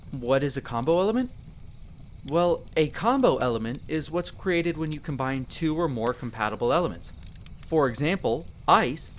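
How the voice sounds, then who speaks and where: Casting: a man to play a young male elf explorer for roleplay audio drama. Friendly, self-deprecating, sincere, medium pitch, speaking one-to-one over outdoor background noise. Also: a bit bright. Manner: conversational, self-deprecating, earnest.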